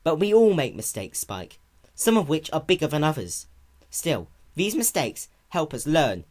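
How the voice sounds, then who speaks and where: A performer voicing a caring female pony in a cartoon-based story reading, soft, patient and reassuring like a big sister. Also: medium pitch, very animated, moderate pace, pitched above the performer's own voice.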